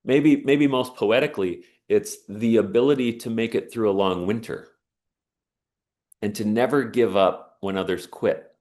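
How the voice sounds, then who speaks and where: A man's voice: fairly low pitch, unhurried, a little mellow. A man giving an earnest, emphatic inspirational talk and telling a personal story. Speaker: speaker on sauna and resilience.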